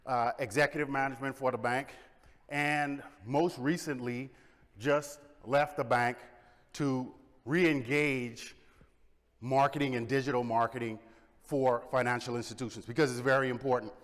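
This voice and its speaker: A middle-aged man from the Bahamas, giving an energetic conference presentation and asking the audience questions. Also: medium pitch, normal speed. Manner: energetic, engages audience with questions and shows of hands. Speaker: conference speaker. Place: large conference hall. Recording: long hall reverb.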